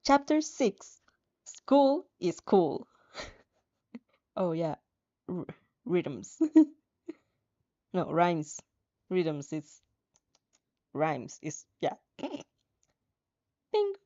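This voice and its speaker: A woman's voice: fairly low pitch, very animated, very slow, long pauses, warm tone, lo-fi sound. A woman casually reading a story aloud and reacting as she goes, giggly and prone to stumbling over the words. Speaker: host reading and reacting to fan fiction.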